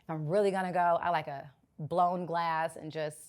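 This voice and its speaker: A raspy-voiced woman in her forties from Los Angeles, a guest in a casual interview who gets animated and jumps high when she protests. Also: normal speed. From South Central Los Angeles.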